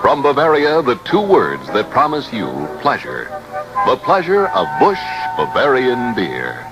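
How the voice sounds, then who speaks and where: A man's voice: mid-range voice, huge pitch swings, measured pace, loud music behind the voice, rough recording. A man delivering a scripted commercial in the style of 1960s radio advertising. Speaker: commercial announcer.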